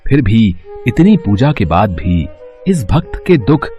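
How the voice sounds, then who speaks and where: Man playing a deep, swooping reverent sage. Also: sing-song, mellow. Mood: devout concern. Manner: declamatory, drawn-out, devout. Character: reverent, questioning male heavenly sage. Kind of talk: mythological cartoon acting. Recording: dull lo-fi track with music.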